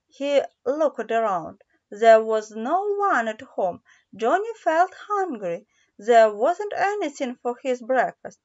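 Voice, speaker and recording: A woman narrating a children's story in a careful, halting read-aloud way. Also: sits high. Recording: dull lo-fi recording.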